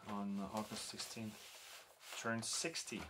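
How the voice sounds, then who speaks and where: Hesitant low-voiced man chatting. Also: level tone, unhurried, noisy background. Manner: hesitant, many ums and restarts. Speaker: record collector vlogger. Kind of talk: casual talk to camera. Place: home room lit by a lamp.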